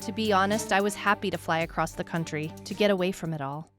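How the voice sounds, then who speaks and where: A woman playing a brooding detective who narrates a supernatural case in a measured, deliberate way, as in a scripted audio drama. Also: on the low side, natural voice. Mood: troubled, reflective. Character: brooding female detective recounting a supernatural missing-person case. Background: music.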